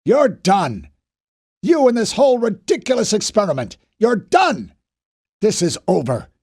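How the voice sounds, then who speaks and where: Man shouting as a furious army captain. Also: sits high, sing-song, measured pace, slightly rough, pitched above the performer's own voice, full character voice. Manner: shouting orders.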